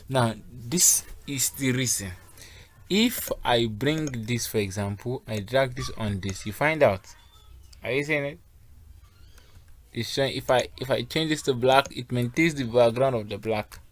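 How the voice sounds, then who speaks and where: A man teaches graphic design software in a step-by-step tutorial. His voice sits at a medium pitch. He speaks instructionally, guiding learners through each action and checking that they are keeping up.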